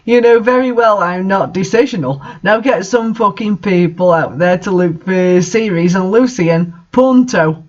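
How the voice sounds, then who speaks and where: A man's voice: sits high, measured pace, hardly any pauses, highs cut off. A man voicing an angry, commanding, foul-mouthed male character in a story reading. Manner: aggressive, commanding.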